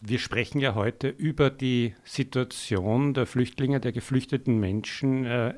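A man's voice: mid-range voice, measured pace, darkish tone. A man with an Austrian German accent hosting a community radio show, introducing topics and interviewing a guest in the studio, often hesitating with fillers as he asks questions. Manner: hesitant with many fillers when asking questions. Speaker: radio host.

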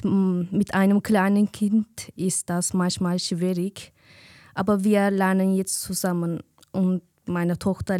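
A woman from Turkey, speaking slowly and hesitantly as a guest on a community radio show, pausing often to find her words. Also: lowish voice, mellow, dry recording, clean studio sound. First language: Kurdish. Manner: hesitant, searching for words with many fillers. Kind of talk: casual radio chat.

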